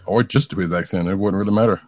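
Man, very deep voice, dry close sound, casual news chat, tentative hedging delivery. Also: quick pace, no echo. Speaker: podcast co-host.

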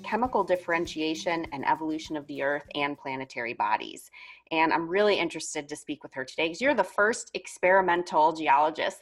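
A woman's voice: sits low, a bit bright. A woman hosting a podcast, asking questions in an effusive, enthusiastic way. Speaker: podcast host. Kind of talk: casual interview talk.

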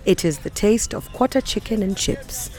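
A woman's voice: mid-range voice, expressive, normal speed, a little mellow. A woman reading scripted literary narration for audio fiction.

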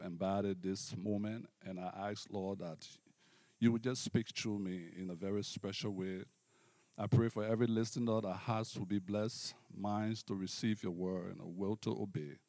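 A middle-aged Liberian-accented man preaches in a fairly low voice, with a close, dry sound. His phrasing is deliberate and devout, like a guest preacher addressing a church. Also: vocal fry, dark tone, no room sound. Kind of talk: personal testimony and sermon to a congregation.

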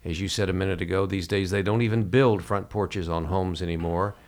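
A man hosting a reflective interview, speaking in a halting, measured way. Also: low-pitched, steady tone. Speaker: podcast host.

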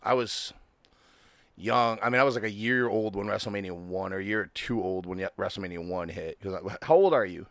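A man's voice: fairly low pitch, fairly quick, a bit bright, no echo. A man in his thirties hosting a podcast, chatting casually, swearing freely and laughing often. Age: 30s. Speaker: podcast host. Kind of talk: casual chat.

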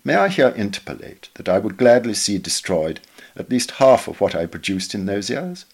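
Man, lowish pitch, highly varied intonation, measured literary narration, scripted essay reading. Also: husky. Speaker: reader of a magazine article.

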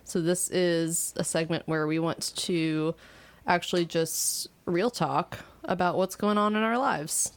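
The woman steering the segments on a local community radio chat show, her voice sitting fairly low. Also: unhurried. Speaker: podcast and radio show co-host. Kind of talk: casual chat.

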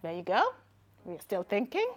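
A woman's voice: medium pitch, wildly swooping pitch, moderate pace. A woman with a Kenyan accent giving a conference talk in a church and running a word game with the audience, teasing and laughing often. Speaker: conference speaker. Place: church hall with live audience.

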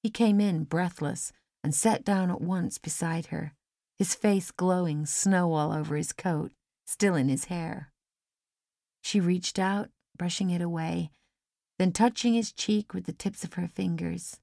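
A woman's voice: fairly low pitch, unhurried, gravelly, mellow, dry recording, clean studio sound. A woman reading a first-person story as scripted audiobook narration. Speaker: audiobook reader. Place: dry studio.

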